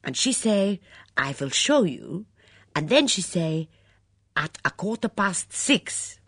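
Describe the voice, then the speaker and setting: A woman doing a shrill indignant foreign cook. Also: big pitch swings, no echo, studio quality, exaggerated voice. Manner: emphatic, repeats words, halting grammar.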